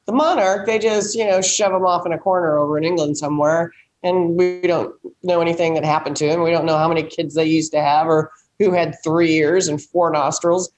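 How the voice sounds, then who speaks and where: A woman with an American accent and a slightly low-pitched voice talks as a panelist. She is blunt and salty, using folksy turns of phrase in casual, opinionated chat. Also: steady tone, brisk, a little mellow, rough recording.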